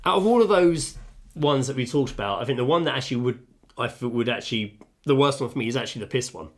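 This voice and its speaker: Guy cohosting a chatty podcast, swears a lot, gets animated telling stories, normal pitch. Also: fast talker. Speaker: podcast co-host. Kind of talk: casual chat, reading out stories. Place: home kitchen.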